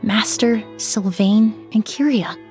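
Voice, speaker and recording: A woman playing a composed, principled young crime-scene cleaner in a video game, speaking in a measured, articulate, earnest way over music. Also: medium pitch, a little mellow, natural voice. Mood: earnest resolve. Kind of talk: scripted video game story dialogue. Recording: background music under the voice.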